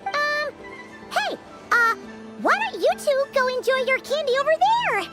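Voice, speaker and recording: Game sidekick: tiny childlike fairy, cheeky and excitable, extremely high squeaky voice, very animated. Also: female voice, unhurried, over music, heavily acted. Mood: nervous coaxing. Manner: exclamatory, refers to self in third person. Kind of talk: animated video game voice acting.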